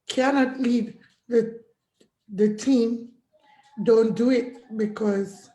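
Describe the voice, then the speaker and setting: Hoarse woman speaking slowly on a call. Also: a little high, warm tone, echoey room, poor audio. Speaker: guest caller. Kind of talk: casual testimonial. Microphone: video call.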